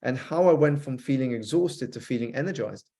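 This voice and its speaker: A man with a British accent that has been softened by an American influence, a guest author on a podcast, telling a reflective story fluently with some repeated starts. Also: medium pitch, expressive, brisk, creaky. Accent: British, softened by years with an American spouse. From United Kingdom.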